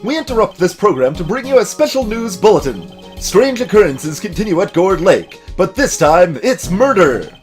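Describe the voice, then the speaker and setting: A man's voice: sits high, big pitch swings, moderate pace, hardly any pauses, soft background music. A man voicing a formal television news anchor in a video game, reading bulletins in a declamatory way. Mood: announcing. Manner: declamatory newsreader delivery.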